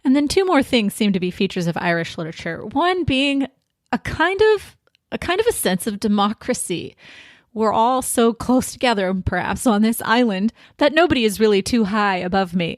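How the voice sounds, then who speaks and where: A woman with an American accent speaks as a podcast host. Her medium-pitched voice moves through prepared thoughts deliberately and reflectively, leaning on key phrases. The sound is dry, with very little room around it. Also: expressive, no echo. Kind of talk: prepared literary podcast talk.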